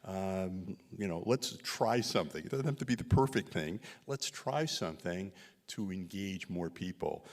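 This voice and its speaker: A man, a visiting researcher, answering questions after a community talk, speaking haltingly with many fillers and restarts. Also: low voice, expressive. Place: synagogue hall. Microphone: handheld microphone. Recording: long hall reverb.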